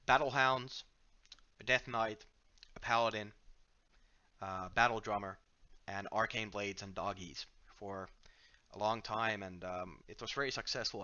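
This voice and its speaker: A fairly low-voiced man with a Finnish accent chats casually through a headset while hosting a game livestream. His tone is bright and forward, and he is relaxed and self-deprecating, with plenty of fillers and restarts. The headset sound is a little dull. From Finland. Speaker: livestream host from the game company. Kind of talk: casual livestream chat while playing and reading viewer questions.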